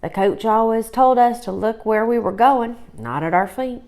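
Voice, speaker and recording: A woman's voice: on the high side, moderate pace, a little mellow, lightly acted. A woman voicing a rueful young boy rabbit in a storybook, in a subdued manner. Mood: rueful, sorrowful. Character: young boy rabbit, playful soccer-mad troublemaker, rueful.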